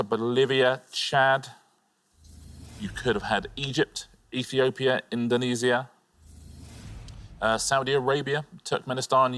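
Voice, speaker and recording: A man's voice: mid-range voice, fairly bright tone. A man co-hosting a quiz show, wryly reading out answers and facts. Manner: wry, chatty, reads out facts. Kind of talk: dry, witty commentary on answers.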